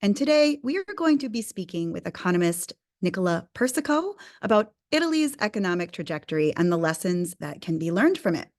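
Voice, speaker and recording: A woman hosting a live webinar, reading housekeeping notes and introducing the guest speaker in a clear, upbeat way. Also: fairly low pitch, very animated, brisk, hardly any pauses. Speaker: webinar host and editor. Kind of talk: scripted introduction.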